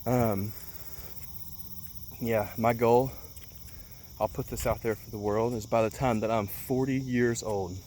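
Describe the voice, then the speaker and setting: A man's voice: medium pitch, fairly even intonation, measured pace, pauses often, darkish tone, a little hiss or hum. A man in his late twenties giving an earnest motivational talk outdoors, with lots of ums and repeated words for emphasis. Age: 20s. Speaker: motivational vlogger.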